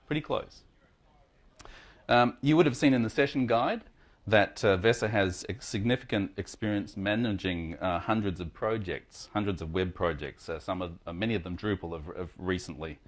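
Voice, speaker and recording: A man with a fairly low voice hosts a conference session. He introduces the speaker informally, in a chatty, jokey way with some hesitation. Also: moderate pace.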